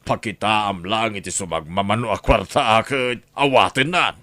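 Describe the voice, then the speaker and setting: A man's voice: fairly low pitch, normal speed, pushed and bright, no echo. An actor playing a greedy, scheming middle-aged man in an audio drama, talking in a sly, scoffing way. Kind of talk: radio drama acting.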